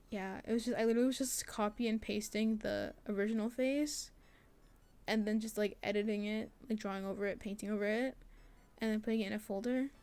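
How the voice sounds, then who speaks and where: Casting: young female student creator, slightly high voice, informal self-deprecating devlog narration with a giggly, chatty delivery. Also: darkish tone. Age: young adult. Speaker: student game developer and artist. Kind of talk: casual devlog voice-over, rambling chat.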